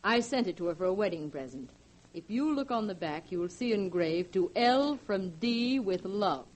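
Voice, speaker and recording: A determined young woman played by a radio actress in forties drama style. Her voice sits at a medium pitch, with earnest, firm, theatrical diction. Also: expressive, moderate pace, a little mellow, close to an everyday voice, low-quality recording. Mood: firm, sad. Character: determined, accusing young woman, loyal friend. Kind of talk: 1940s radio acting.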